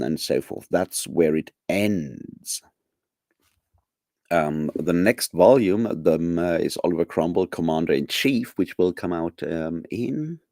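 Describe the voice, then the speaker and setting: Man, low mellow voice, casual rambling streamer chat, dry close mic. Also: no echo. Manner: rambling, many fillers and restarts; chuckles often. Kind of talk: casual chat to live viewers.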